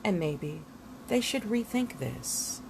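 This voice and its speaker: A woman reading a nonfiction book aloud in a dramatic, deliberate way, leaning on words and leaving emphatic pauses. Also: mid-range voice, animated, noisy background. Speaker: book reader.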